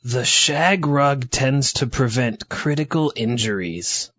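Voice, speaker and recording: A man's voice: mid-range voice, slow pace, a bit bright, no room sound, dull audio. A man playing a sulky, submissive mad doctor boyfriend in a comedy audio drama, whining and mopey. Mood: sulky, cowed. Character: sulking, henpecked, meek mad-doctor boyfriend.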